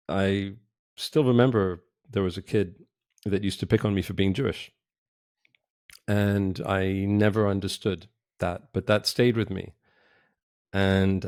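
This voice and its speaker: A middle-aged man from Israel, a podcast guest, talking casually in a direct, reflective way with pauses and restarts. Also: low voice, vocal fry, darkish tone, dry recording, studio quality. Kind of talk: casual interview talk. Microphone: remote call.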